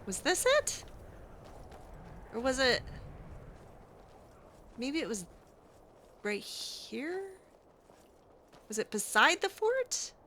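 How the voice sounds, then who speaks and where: Female let's player, in-character and self-talking; clear forward tone, leisurely delivery, light background ambience. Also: sits high, sing-song, very slow, pauses often, pushed and bright, a little hiss or hum. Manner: talks aloud to herself, musing. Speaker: let's play host roleplaying her character. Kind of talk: in-character improvised game narration.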